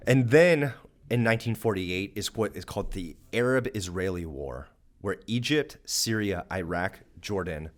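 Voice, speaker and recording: A man's voice: fairly low pitch, normal speed. A man from Georgia with a Southern accent, co-hosting a church podcast and casually explaining history. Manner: animated, acts out voices of nations jokingly. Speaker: podcast co-host.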